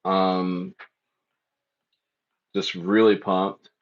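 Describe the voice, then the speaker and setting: A man's voice: low voice, level tone, very slow, dull audio. A man hosting a casual crypto livestream, speaking loosely and repeating phrases as he reads the chat.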